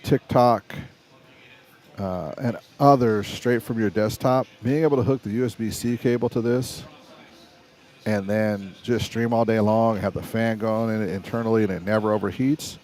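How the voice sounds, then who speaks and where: A middle-aged man with a raspy voice, hosting a casual live stream and rambling in a chatty way with frequent restarts. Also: lowish voice, fairly even intonation, normal speed, darkish tone.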